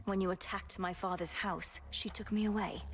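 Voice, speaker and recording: An actress playing a determined, fierce young sorceress princess in a video game, speaking in formal, archaic, earnest phrases. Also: female voice, mid-range voice, fairly even intonation, moderate pace, slight background noise, close to an everyday voice. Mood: guarded. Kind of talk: dramatic video game acting.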